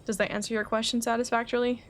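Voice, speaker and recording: A woman reading aloud the game lines of a composed, cerebral alchemist in a formal, measured manner. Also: on the high side, little pitch movement, lightly acted. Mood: calm, reflective. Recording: faint background noise.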